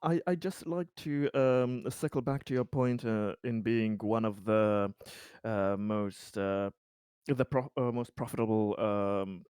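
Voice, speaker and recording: A man playing a polite, deferential investigator in a tabletop roleplaying game, speaking formally and haltingly. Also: on the low side, fairly even intonation, darkish tone, clean tone, no room sound, studio quality. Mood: polite, hesitant. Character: polite, deferential male investigator questioning an official.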